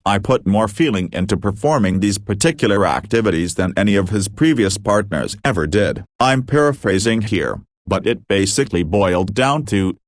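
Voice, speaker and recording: Man, fairly low voice, story narrator reading posts, steady flat delivery, dry close sound. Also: dry recording. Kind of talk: read-aloud story narration.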